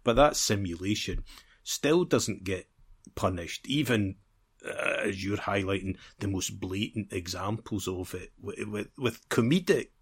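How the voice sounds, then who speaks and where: A man with a fairly low voice talks football as a podcast co-host. He is casual and rambling, restating phrases while he works toward his point, with a wry, teasing edge. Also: unhurried, slightly rough. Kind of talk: casual sports chat.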